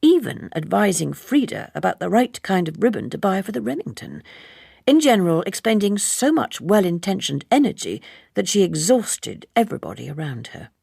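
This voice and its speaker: A woman reading a literary novel as scripted narration, in a measured and precise manner. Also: medium pitch, sing-song, gravelly, a little mellow, breathy edge, dry recording, studio quality. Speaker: audiobook narrator.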